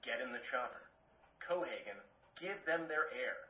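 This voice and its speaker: A man playing a stubborn action-star pitchman with an Austrian accent in a parody TV commercial, declaiming the ad copy. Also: expressive, moderate pace, bright, forceful tone. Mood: insistent. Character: comic Arnold Schwarzenegger-style action star pitching an accent-removing spray. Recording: roomy, noisy playback of a clip.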